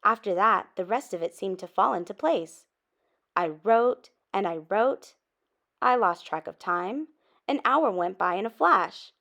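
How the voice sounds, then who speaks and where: Female storybook reader, mid-pitched with noticeable vocal fry, expressive and emphatic. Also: unhurried. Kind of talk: expressive read-aloud of a children's story.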